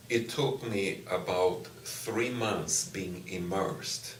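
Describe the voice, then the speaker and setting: A middle-aged man from Brazil whose first language is Portuguese, a university professor answering interview questions in a slow, thoughtful way with frequent pauses and restarts. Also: sits low, a bit bright, slight background noise. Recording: echoey room, distant mic, faint ambience.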